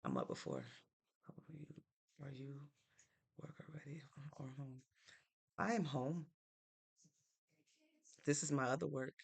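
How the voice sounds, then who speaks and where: Woman with a heavily gravelly voice. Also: rumbling low, very animated, measured pace, pauses often. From Norwalk, Connecticut, United States. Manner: easygoing and chatty, thinks aloud with fillers. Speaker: live stream host. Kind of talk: casual live-stream chat reading viewer comments.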